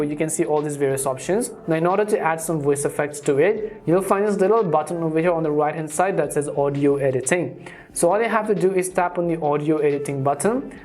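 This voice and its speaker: A man's voice: on the high side, darkish tone. A man hosting a tutorial channel, narrating instructions step by step in a scripted how-to style.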